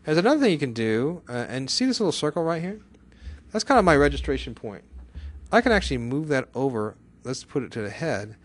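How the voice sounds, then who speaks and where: Guy doing a casual software tutorial, talks really quick, chatty, keeps checking in with little questions. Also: a little high, big pitch swings. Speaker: software instructor.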